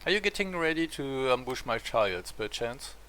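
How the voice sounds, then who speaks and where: A man's voice: medium pitch, sing-song, normal speed, pushed and bright, slight background noise, low-quality recording. A French man streaming a strategy game, chatting in English with a French accent, rambling and full of hesitations. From France. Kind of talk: casual chat while playing.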